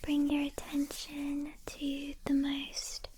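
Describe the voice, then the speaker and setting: A woman softly whispers an ASMR guided meditation at an extremely slow pace, gentle and breathy. Her delivery is very flat and soothing, broken by long pauses. Noticeable background noise sits behind her, along with soft hand sounds and clicks. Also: high-pitched.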